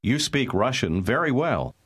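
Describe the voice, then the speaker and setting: Male language-course speaker with lively pitch movement, reading short model phrases in a husky voice. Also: measured pace. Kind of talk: scripted example phrases for repetition.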